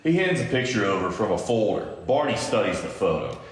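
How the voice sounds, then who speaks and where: Low-voiced man narrating, very echoey. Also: very animated, a little fast. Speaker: podcast host reading stage directions. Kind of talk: scripted narration in an amateur table read. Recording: very echoey room, long reverb.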